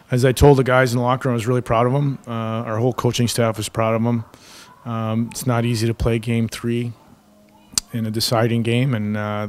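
Steady middle-aged American coach, flat delivery. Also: male voice, fairly low pitch, smooth. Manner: measured, with frequent ums and uhs. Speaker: head coach. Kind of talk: post-game press conference answers.

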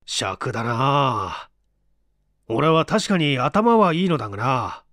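A man's voice: mid-range voice, sing-song, takes it slowly, a bit bright, dry recording, clean studio sound, voice pushed down. A man voicing an uneasy, self-deprecating, forgetful man, hesitant and musing, in a dramatic audiobook reading. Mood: uneasy, rueful.

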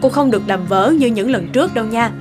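A woman's voice: on the high side, fast talker, lightly acted. A woman voicing a kind but exasperated middle-aged lady of the house in a cartoon moral tale, speaking earnestly. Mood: stern. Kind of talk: animated moral-tale voice acting. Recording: music under the voice.

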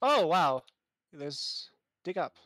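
A man's voice: on the high side, big pitch swings, slow pace, a bit bright. A man playing a video game with friends, muttering to himself with swearing and sudden outbursts. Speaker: game player. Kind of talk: casual gaming chat.

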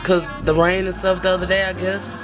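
Hoarse woman vlogging at arm's length. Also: fairly low pitch, flat delivery, fairly quick, music in the background. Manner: chatty, unfiltered, complains freely. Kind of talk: casual vlog chat. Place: car, home, then big store aisles. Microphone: phone camera held at arm's length.

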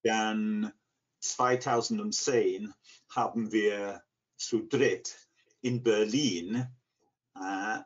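An English man in his sixties with an English accent, answering interview questions haltingly as he searches for words in a second language. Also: on the low side, fairly even intonation. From England, near Liverpool. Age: 60s. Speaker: interview guest, language learner. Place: living room at home. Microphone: computer mic on video call. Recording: dull, lo-fi video call.

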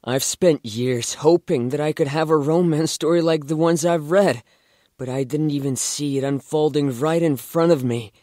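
A man's voice: fairly high pitch, moderate pace, dark tone, dry recording, clean studio sound, natural voice. A man playing a bookish, devoted young man in love in a fan audio drama. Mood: tender.

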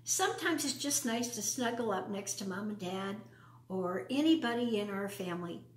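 An older American woman in her sixties or beyond hosting a children's storytime, talking and reading aloud in simple, deliberate phrases for young listeners. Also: medium pitch, animated. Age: 60s or older. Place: living room at home, indoors. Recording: roomy echo.

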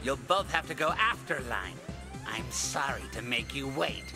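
A man's voice: mid-range voice, animated, normal speed, over music, lightly acted. A man playing a scheming villain doctor in an anime dub, politely menacing and mockingly courteous. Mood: mock courteous. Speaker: voice actor in an English anime dub.

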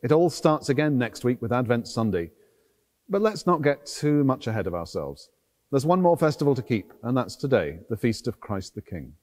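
A man's voice: mid-range voice, big pitch swings, normal speed, darkish tone. A middle-aged man speaking as a priest, delivering formal liturgical address. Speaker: priest, celebrant. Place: large stone cathedral interior. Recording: long church reverb.

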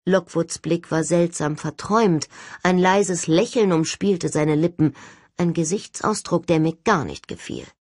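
A woman narrates a story for an audiobook in a slightly low, husky voice. The sound is close and very dry, like a studio reading. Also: unhurried, darkish tone, no echo. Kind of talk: scripted audiobook narration, first person.

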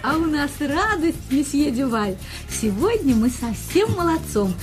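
A chirpy, doting nurse in a radio drama. Her voice is high and swoops in a singsong as she babies and coos, with faint music behind. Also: big pitch swings, measured pace. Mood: doting, cheerful. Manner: cooing, babying, singsong. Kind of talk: radio play acting.

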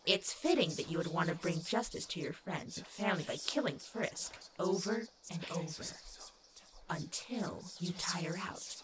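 A woman's voice: on the low side, moderate pace, lightly acted. A woman voicing a sinister, mocking demon child in a dramatic comic dub, taunting cruelly and sometimes dropping into a whisper. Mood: coldly taunting.

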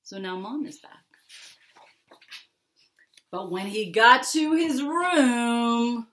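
A middle-aged woman reads a children's story aloud at an unhurried pace. Her delivery is expressive and dramatic, with deliberate pauses and emphasis on key words. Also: on the high side. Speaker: picture-book author reading aloud. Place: small furnished room at home, indoors.

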